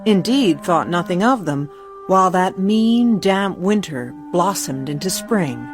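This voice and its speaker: A woman narrator reading a literary ghost story in a measured, formal storytelling manner. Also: on the low side, animated. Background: faint music.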